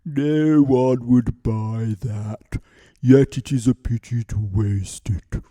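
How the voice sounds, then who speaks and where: A man's voice: mid-range voice, takes it slowly, warm tone, close to an everyday voice. A man voicing a greedy, hungry bear who schemes and mutters to himself in a children's storybook. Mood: regretful, greedy.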